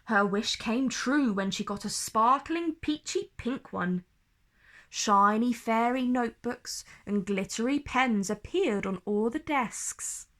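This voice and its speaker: Woman doing kids story time, bright high voice, theatrical, super expressive. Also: takes it slowly. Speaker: children's storyteller. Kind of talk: expressive storybook read-aloud.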